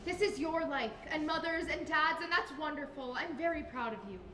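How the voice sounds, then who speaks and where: Granddaughter role: young woman, very high bright voice, earnest, exasperated, affectionate, stage comedy acting. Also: lively intonation, fairly quick, full character voice. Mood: earnest pleading. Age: young adult. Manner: earnest, loudly insistent to be heard. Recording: echoey room, faint noise.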